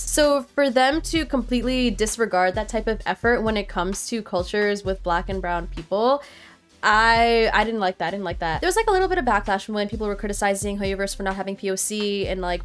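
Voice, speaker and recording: A young woman from Nepal, a student, talking earnestly to her viewers in a casual opinion vlog, filling her sentences with "like". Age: young adult. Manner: earnest, heavy use of "like", animated pitch jumps. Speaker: vlogger. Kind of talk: casual opinion talk to camera. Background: faint background music.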